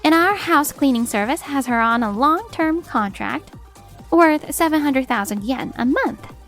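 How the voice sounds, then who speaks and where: A woman playing a composed, steely attorney in a manga dub, speaking formally and with measured assertiveness. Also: high-pitched, lively intonation, fairly bright tone, faint music behind, full character voice. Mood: coolly cutting. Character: composed, steely female attorney confronting a husband. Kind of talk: acted manga dub dialogue.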